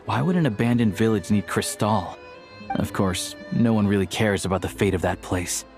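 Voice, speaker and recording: A man plays a guarded, quietly kind villager in a video game. His voice sits fairly low and stays understated and matter-of-fact, over soft background music. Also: normal speed, close to an everyday voice. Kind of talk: video game cutscene acting.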